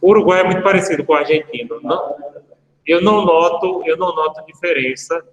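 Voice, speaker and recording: A man's voice: fairly high pitch, brisk, muffled recording, a crowd in the background. A man, a law professor giving a casual online lecture, chatty and full of anecdotes and asides to his students. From Brazil. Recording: echoey room with long reverb.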